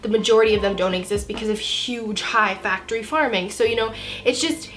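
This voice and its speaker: A woman's voice: mid-range voice, fairly quick, a bit bright, soft background music, poor audio. A twenty-year-old American woman from Florida with a cold, chatting casually and informally to camera as a book vlogger. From Florida, United States. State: has a cold.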